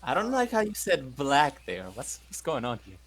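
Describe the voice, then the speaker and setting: A man's voice: fairly high pitch, huge pitch swings, normal speed, heavy background noise. A man playing a tabletop game, joking and teasing the other players. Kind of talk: casual tabletop game chat.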